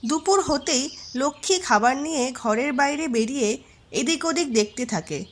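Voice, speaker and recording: High-pitched woman narrating a folk tale. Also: normal speed, a little hiss or hum. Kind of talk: scripted storybook narration.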